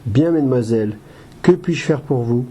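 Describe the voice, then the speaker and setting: A man playing a patient, formal and polite association adviser with a soft, slightly husky voice in an amateur audio drama. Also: mid-range voice, lively intonation, moderate pace, slight background noise, close to an everyday voice. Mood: courteous, informative. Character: courteous, patient male guide-dog association adviser.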